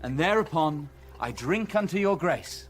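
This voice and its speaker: An actor playing a proud, cold, commanding young prince in a classical radio drama, with declamatory authority. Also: male voice, on the high side, lively intonation, unhurried, a little hiss or hum. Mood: smoothly assuring.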